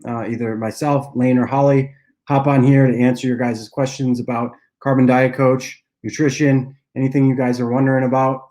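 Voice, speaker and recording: A young man with a nasal voice from a cold, casually answering viewer questions on a livestream and often clearing his throat. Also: medium pitch. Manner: relaxed, loose delivery with frequent uhs and throat clearing. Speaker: app cofounder and dietitian hosting a Q&A livestream.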